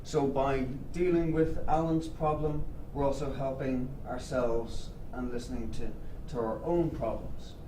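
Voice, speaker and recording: A man's voice: medium pitch, steady tone, a little slow, few pauses, lightly acted. A man playing a fumbling, earnest therapist. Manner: hesitant, many fillers, placating. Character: earnest, fumbling male therapist leading an anger group. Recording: echoey room, distant mic, noisy.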